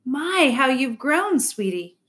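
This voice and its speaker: A sweet, doting old bunny lady from a storybook who gushes over everyone in a high voice, as a woman puts her on. She drawls her words slowly. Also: sing-song, fairly bright tone, exaggerated voice. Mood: delighted. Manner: sweet, gushing. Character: gushing older female pet bunny.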